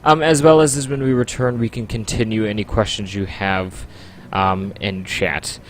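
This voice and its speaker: A man's voice: lowish voice, fairly even intonation, moderate pace, slight background noise. A man chatting casually to his audience during a livestream, rambling along with frequent ums.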